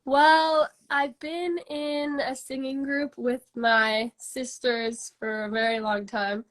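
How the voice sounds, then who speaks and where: A woman's voice: high-pitched, little pitch movement, slow pace, fairly bright tone. A woman in her twenties with a congested voice, chatting casually as an interview guest, full of "like" and "um" fillers. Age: 20s. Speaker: singer-songwriter guest.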